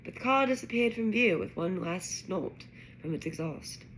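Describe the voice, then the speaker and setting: A woman narrating a children's fantasy story aloud in an expressive reading style. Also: medium pitch, normal speed, slight background noise, rough recording. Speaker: audiobook-style reader.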